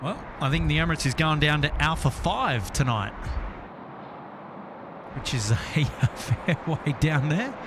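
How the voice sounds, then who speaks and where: A man's voice: on the high side, animated, measured pace, background noise. An Australian man hosting a casual live plane-spotting stream outdoors, talking in a hesitant, rambling way. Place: outdoors near an airport at night.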